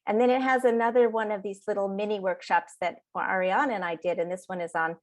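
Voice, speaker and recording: A woman's voice: medium pitch, fairly even intonation, fast talker. A woman, a social worker, leading an online workshop in a rambling, casual way, full of restarts.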